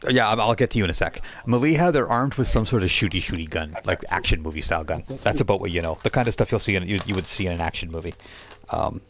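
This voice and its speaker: A man's voice: deep voice, lively intonation, fast, fairly bright tone, a little hiss or hum. A man narrating a tabletop game casually as game master, improvising with chatty asides.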